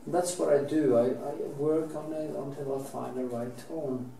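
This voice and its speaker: A man's voice: mid-range voice, steady tone, moderate pace, creaky, warm tone. A middle-aged man from Norway with a Norwegian accent, talking while he paints, rambling and restarting his sentences, swearing casually now and then. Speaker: painting teacher. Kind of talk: painting demonstration. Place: painting studio, indoors. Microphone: camera mic, at a distance. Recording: roomy, distant, faint background hiss.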